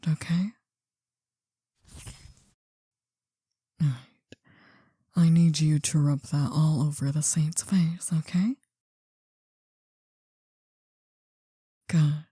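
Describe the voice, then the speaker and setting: ASMR roleplay: older mafia boss lady played by a woman, low-pitched husky whisper, possessive, coaxing with quiet menace, unhurried. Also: dry recording, studio quality, exaggerated voice. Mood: solemn, possessive. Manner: slow, hushed, deliberate. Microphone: close dry microphone.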